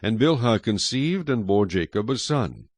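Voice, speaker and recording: Expressive low-voiced man narrating scripture. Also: moderate pace, no room sound, studio quality. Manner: storytelling, expressive.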